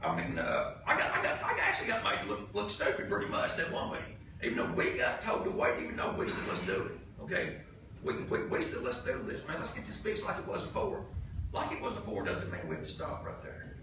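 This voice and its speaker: A man's voice: on the high side, huge pitch swings, fairly quick. A county commissioner, a man with a Southern US accent, speaking heatedly at a public meeting in a blunt, folksy, exasperated way and repeating his points for emphasis. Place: indoor public meeting room. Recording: noisy, very echoey meeting room, distant mic.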